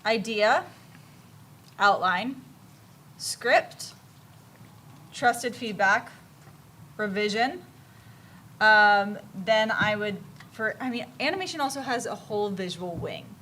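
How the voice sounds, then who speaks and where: A woman's voice: medium pitch, expressive, slow, long pauses, sharp tone. A woman panelist at a live convention giving informal, emphatic advice in short, broken-up pieces. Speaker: panelist, animation creator. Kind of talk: informal explanatory panel talk. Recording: noticeable ambient event noise.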